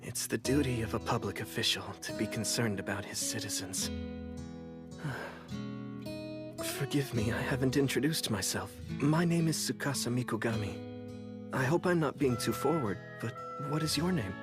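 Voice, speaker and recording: A man's voice: medium pitch, lively intonation, normal speed, music in the background, natural voice. A man voicing a polite, composed teenage boy official in an English anime dub, with formal, earnest speech. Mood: polite, subdued.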